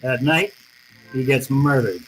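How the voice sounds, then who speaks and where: A world-weary American private detective, acted by a man in an old-time radio noir. He talks in tough slang with a wry edge, at a medium pitch. There is clear background noise on the recording. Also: animated, a little slow, close to an everyday voice, rough recording. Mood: wry, matter-of-fact. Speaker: actor narrating and playing the lead.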